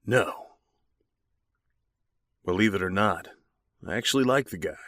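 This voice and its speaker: An actor playing a shady, conspiratorial accomplice to murder in a horror audio drama. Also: male voice, lowish voice, animated, a little slow, fairly bright tone, no room sound, studio quality. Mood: grim, confiding.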